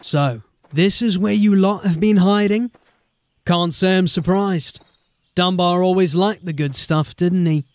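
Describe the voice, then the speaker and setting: An actor playing a wary, sardonic city police sergeant in a fantasy audio drama, dry and guarded in manner. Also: male voice, high voice, a little slow, a little mellow, no echo. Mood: dry, resolved.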